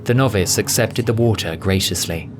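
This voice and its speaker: A man's voice: fairly low pitch, normal speed, background noise. A man narrating a scripted story as the narrator of an audio drama. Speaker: narrator, voice actor. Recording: music bed under voice. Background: music.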